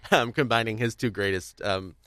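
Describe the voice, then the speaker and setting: A man's voice: medium pitch, very animated, slow pace, a bit bright, no room sound, studio quality. A man hosting a podcast in casual conversation, speaking in a hesitant, rambling, stop-start way. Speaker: podcast host. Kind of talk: casual discussion of audio drama.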